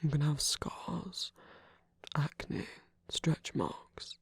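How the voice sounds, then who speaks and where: An actor voices a villain gone tender, soothing a lover in intimate roleplay. The voice is heavily breathy and husky with a gravelly fry, often dropping to a whisper. It sits very close and dry on the microphone, gentle and coaxing. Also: mid-range voice, steady tone, very slow, no room sound, heavily acted. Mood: tender, earnest. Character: tender villain comforting a former hero lover. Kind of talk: intimate ASMR romantic roleplay.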